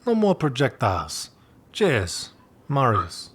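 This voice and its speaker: A cocky older big spender with a mid-pitched voice, like a character in a narrative game. He is breezy and self-satisfied, rambling along in slangy boasts with easy confidence. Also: wildly swooping pitch, takes it slowly, a bit bright, a little hiss or hum, full character voice. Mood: casual, confiding.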